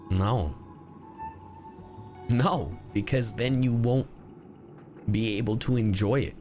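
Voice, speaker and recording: A man's voice: fairly low pitch, takes it slowly, darkish tone. A man doing casual reaction commentary on a game story, with blunt, slangy interjections. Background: game music and dialogue.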